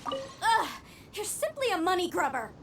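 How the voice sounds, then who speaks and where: A woman's voice: very high-pitched, very animated, measured pace, heavy background noise, exaggerated voice. A woman voicing an earnest, articulate young female researcher in a video game, easily exasperated. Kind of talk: scripted video game voice acting. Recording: game music behind the voice. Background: game music.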